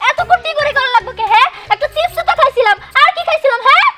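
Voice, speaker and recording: Squeaky, whiny cartoon girl. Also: expressive, rapid-fire. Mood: complaining. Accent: Bangladeshi. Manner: complaining, squeaky. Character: whiny, cheeky young elder daughter, cartoon. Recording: loud music bed behind voice.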